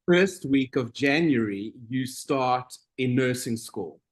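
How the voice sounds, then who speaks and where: A middle-aged man from South Africa, a nurse being interviewed, talking in a relaxed, meandering way with frequent pauses. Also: medium pitch. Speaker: guest, operating room nurse. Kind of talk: casual interview conversation.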